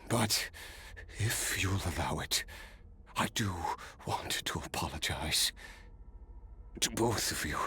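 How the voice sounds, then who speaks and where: A man playing a weary, cursed villain in a video game, courteous and philosophical, speaking slowly and formally in a raspy, breathy voice. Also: expressive, pushed and bright, a little hiss or hum, full character voice. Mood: weary, apologetic. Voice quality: raspy, breathy, gravelly low voice. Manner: slow, deliberate, formal, theatrical. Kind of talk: dramatic video game acting.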